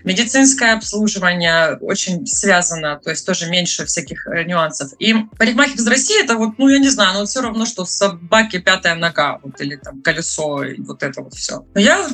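A woman's voice: lowish voice, animated, fairly quick, bright, forceful tone. A woman in her thirties from Russia, a hairdresser telling her story in a chatty, casual interview with lots of fillers. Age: 30s. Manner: chatty storyteller, many fillers, laughs often. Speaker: guest, hairdresser living abroad.

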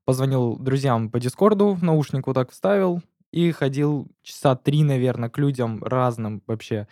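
A man's voice: mid-range voice, normal speed, dark tone, no echo, clean studio sound. A sixteen-year-old guest on a podcast, speaking in a straightforward way as he recounts his own experience. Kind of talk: casual podcast chat.

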